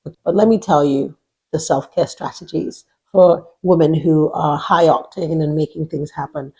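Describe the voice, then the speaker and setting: A middle-aged woman from Nigeria speaking as an executive coach, giving deliberate, emphatic motivational advice in an instructive way. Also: sits low, mellow, husky, dull audio. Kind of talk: motivational advice talk to camera.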